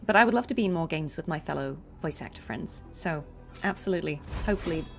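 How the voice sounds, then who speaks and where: A woman's voice: low voice, lively intonation, fast talker, creaky, soft background music. A young woman, a voice actor, chatting casually while streaming a game, thinking aloud with lots of fillers.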